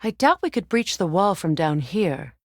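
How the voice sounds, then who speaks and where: An actress voicing a stern, wise warrior goddess in a video game, speaking with measured, formal diction. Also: female voice, fairly low pitch, sing-song, no echo, studio quality. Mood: composed, observant. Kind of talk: dramatic video game voice acting.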